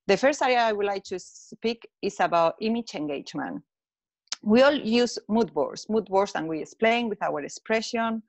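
A woman from Spain with a Spanish accent, speaking English as a second language, giving an earnest webinar talk on a video call with some halting phrasing. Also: mid-range voice, no room sound. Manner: earnest, halting non-native phrasing with fillers. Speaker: webinar speaker, colour consultant. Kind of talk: online presentation.

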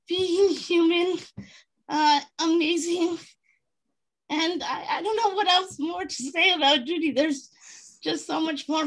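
A woman's voice: very high-pitched, a bit bright, poor audio. A woman speaking over a video call from a home office, emotional and laughing through her words. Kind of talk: casual video-call chat. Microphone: video call webcam.

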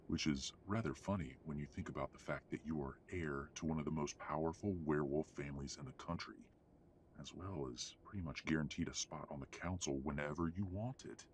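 A man playing a haughty, middle-aged werewolf patriarch in an audio drama, speaking in a formal, measured, condescending way. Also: extremely low pitch, a little hiss or hum. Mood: coolly disapproving. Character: haughty, domineering old-money werewolf patriarch father. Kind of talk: audio roleplay drama acting.

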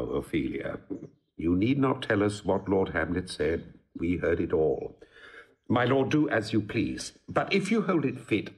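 Casting: fussy, scheming old courtier, very animated intonation, Shakespearean radio play. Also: male voice, fairly low pitch. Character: officious, scheming elder courtier, fatherly and fussy. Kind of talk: classical Shakespearean audio drama.

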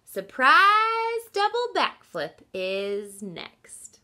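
A woman's voice: high-pitched, sing-song, drawn-out words, sharp tone, pitched above the performer's own voice, full character voice. A woman voicing an eager young boy in a children's storybook, nervous but boasting with earnest bravado. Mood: excited.

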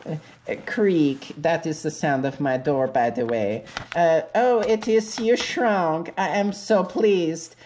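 A woman plays an eager, lovestruck donkey in a homemade comic story. The voice is fairly low and unhurried, with stilted, over-polite phrasing and a little background noise. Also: darkish tone.

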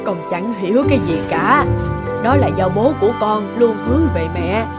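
A woman plays an older mother in an animated cartoon, devoted and shrewd. She talks in a middle register, chatty and gently admonishing. Music plays loudly behind the voice. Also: medium pitch, moderate pace, lightly acted. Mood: tender, content. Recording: loud background music over voice.

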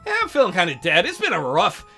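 A man's voice: sits high, huge pitch swings, quick pace, pushed and bright, soft background music. A man hosting a casual let's play, rambling and joking in a crude, sarcastic way. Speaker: gaming video host.

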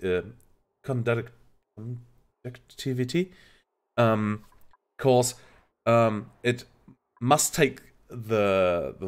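A man with a German accent, a native German speaker, hesitantly explaining a game in a casual tutorial, searching for words. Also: mid-range voice. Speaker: game streamer, tutorial host. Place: indoor home streaming setup.

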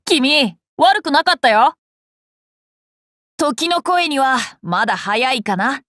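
A boyish young warrior king for an anime game, confident and curious. The voice is high and bright, with unhurried, courteous phrasing. It sits close and dry over game music. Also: expressive, dry recording, full character voice. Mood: rueful, good-natured. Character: eager, polite boy king and mounted swordsman. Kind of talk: Japanese anime game battle voice lines.

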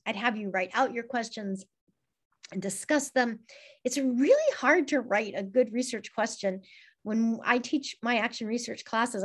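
A middle-aged woman giving an online teacher-training talk over a video call, conversational and encouraging, often checking in with "right?". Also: a little high. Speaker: workshop presenter.

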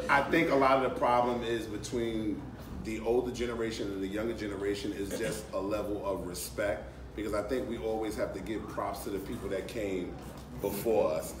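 A man with a deep voice talking casually in a panel discussion, repeating himself as he makes his point, recorded in a hotel conference room. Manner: relaxed, repeats phrases, conversational. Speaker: panelist in audience. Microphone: handheld mic, recorded by a phone camera across the room. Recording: echoey room, from far off, noisy.

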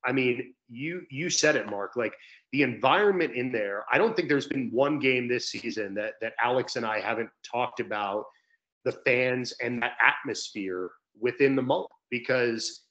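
A young man talks as an interview guest on a video call, in an average-pitched voice. He is earnest and emphatic, making his case conversationally with rhetorical questions. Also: moderate pace, fairly bright tone, lo-fi sound. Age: young adult. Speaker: sports radio play-by-play broadcaster, guest. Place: indoor room at home, video call. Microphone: video call, close to webcam.

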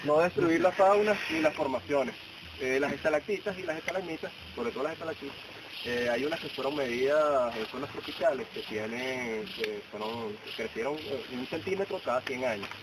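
A young man with a Venezuelan Spanish accent giving an informal safety briefing as a cave guide, casual and halting, with dry humour. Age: young adult. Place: outdoors in a forest, by a signboard. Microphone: camera a few steps away. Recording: noisy low-quality outdoor camera audio.

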